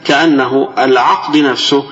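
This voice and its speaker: A man's voice: mid-range voice, steady tone, moderate pace, lo-fi sound. A man with an Egyptian accent teaching Islamic jurisprudence; his voice is tired and strained, and he reads classical text and then explains it in colloquial dialect. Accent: Egyptian Arabic. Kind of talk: religious lesson, reading a text then explaining.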